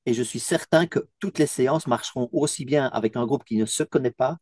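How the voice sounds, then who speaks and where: Mellow-voiced Belgian-born team coach. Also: male voice, medium pitch, brisk, no echo, clean studio sound. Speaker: team coaching business owner. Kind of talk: interview testimonial.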